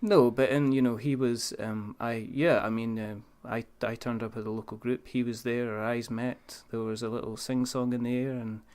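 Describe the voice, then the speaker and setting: Male host, mid-pitched, jokey and relaxed in conversation. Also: normal speed, few pauses, smooth. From United Kingdom. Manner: playful, jokes and laughs. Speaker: podcast host. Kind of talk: casual chat.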